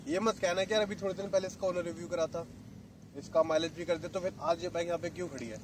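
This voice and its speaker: A man's voice: sits high, fast, background noise. A man in his thirties, a motorcycle vlogger, chatting casually as he explains gear step by step at an open lakeshore. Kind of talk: casual product demo.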